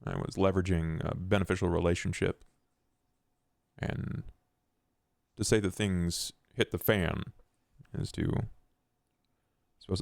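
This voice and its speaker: A man playing an ambitious, scheming vampire aristocrat in a tabletop game, speaking in formal, wordy, measured phrases. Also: low voice, vocal fry, no room sound, clean studio sound, full character voice. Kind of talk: in-character tabletop roleplay.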